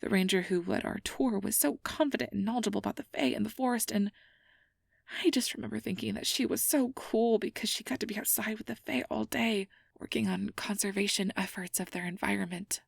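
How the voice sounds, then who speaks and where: A woman acting a breathy, nervous young researcher. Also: medium pitch, steady tone, a little fast, no echo, clean studio sound. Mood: wistful, soft. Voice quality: breathy, often dropping to a soft whisper. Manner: flustered, stammering, over-explaining, self-doubting. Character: nervous, rambling, earnest young female fantasy researcher. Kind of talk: ASMR roleplay acting.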